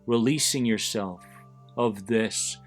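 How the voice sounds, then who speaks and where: A man leading a guided visualization in a deliberate, soothing manner, pausing between short phrases. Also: lowish voice. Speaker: host of an anxiety support podcast, guiding listeners. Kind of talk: guided visualization, meditation narration. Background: background music.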